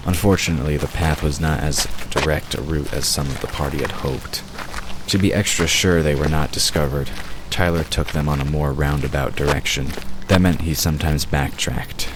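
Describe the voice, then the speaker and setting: A man's voice: rumbling low, fairly even intonation, normal speed, background noise. A man narrating a scripted audio drama as its storyteller.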